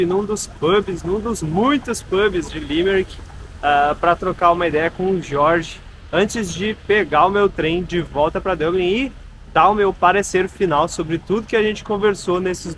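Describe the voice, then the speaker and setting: A man's voice: sits high, measured pace, a bit bright, a little hiss or hum, rough recording. A man hosting a travel video, asking a guest questions in casual chat inside a pub. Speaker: host, travel vlogger. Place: busy pub, indoors. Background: chatter of a pub crowd.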